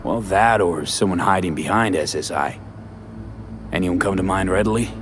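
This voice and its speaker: An actor playing a laconic, street-smart mercenary investigator in a cyberpunk video game, asking clipped questions. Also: male voice, sits low, moderate pace, close to an everyday voice. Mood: probing. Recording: music behind.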